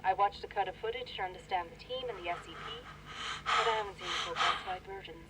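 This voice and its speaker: A woman's voice: medium pitch, quick pace, close to an everyday voice. A woman playing a guarded foundation staffer in found-footage horror, clipped and matter-of-fact, on an old noisy tape. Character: female foundation staffer under questioning, precise and guarded. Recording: distant, very noisy, clicking tape.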